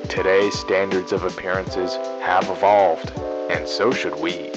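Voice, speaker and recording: A man playing a stiff, ominous school principal reading a formal, measured announcement in a retro public service style. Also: deep voice. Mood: stiff, official. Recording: dull lo-fi 1990s tape broadcast. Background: music.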